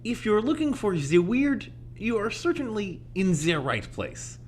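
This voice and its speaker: An actor playing a gently helpful, bookish older scholar in an audio play, speaking formally in measured phrases. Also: male voice, high voice, very animated, slight background noise. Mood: gentle, informative.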